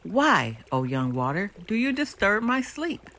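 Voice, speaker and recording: A woman's voice: mid-range voice, very animated, a little slow, a bit bright. An older woman voicing a mighty, ancient river in a storybook, grumpy yet indulgent, with solemn, weighty delivery. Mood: grumpy, scolding.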